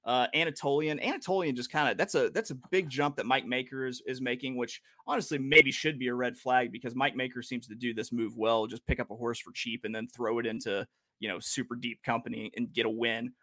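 A man's voice: medium pitch, fairly even intonation, brisk, pushed and bright. A man hosting a horse racing podcast, chatting casually and joking, with many fillers and restarts. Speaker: podcast host and handicapper. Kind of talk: casual chat about horse race betting.

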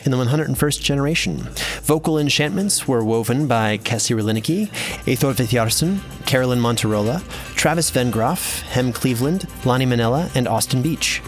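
A man's voice: mid-range voice, natural voice. A man playing an earnest, slightly awkward inventor in an audio drama, chatty and hesitant in a naturalistic way. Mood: focused, self-noting. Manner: hesitant, rambling, friendly.

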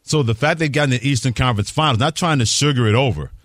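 A man's voice: mid-range voice, fast, fairly bright tone, no echo, clean studio sound. A man hosting a sports talk radio show, commenting emphatically and repeating phrases for weight.

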